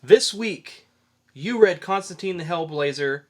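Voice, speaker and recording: A man's voice: high-pitched, expressive, a little slow. A man co-hosting a podcast, chatting casually about pop-culture news and freely offering opinions. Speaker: podcast co-host.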